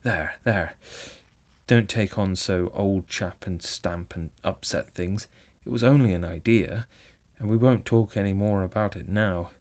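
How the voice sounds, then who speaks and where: A man's voice: low voice, measured pace, a little mellow, muffled recording. A man reading aloud and voicing a conceited, boastful male toad who turns humble and fretful. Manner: humble, then fretful and pleading.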